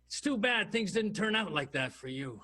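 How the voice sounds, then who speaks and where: A man playing a halting, smitten police detective in an improvised 1920s radio soap. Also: high voice, big pitch swings, sharp tone, heavily acted. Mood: earnest, confiding. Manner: halting, stammering.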